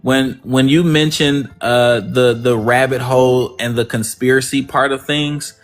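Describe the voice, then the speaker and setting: A man's voice: mid-range voice, fairly even intonation, a little slow. Effusive man thanking his listeners. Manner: warm and effusive, repeats words of thanks. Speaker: livestream host. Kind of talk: casual positive-affirmation talk.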